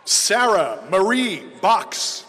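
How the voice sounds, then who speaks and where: A man announcing graduate names at a podium microphone in a large indoor arena, reading each name slowly and clearly. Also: sits high, very animated, a bit bright, a faint crowd, slight background noise. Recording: long reverb of a large arena.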